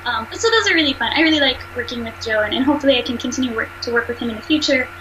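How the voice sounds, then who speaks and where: A woman voice actress and singer with a nasal voice, talking fast and animatedly in a casual interview over a video call. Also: fairly high pitch, background noise. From United States. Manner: fast, animated, laughs often.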